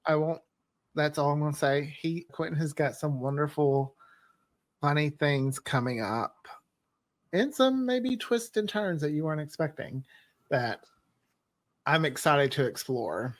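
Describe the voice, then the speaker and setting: A man's voice: a little high, animated, unhurried, long pauses, no room sound. A man chatting casually as a writer on a podcast panel.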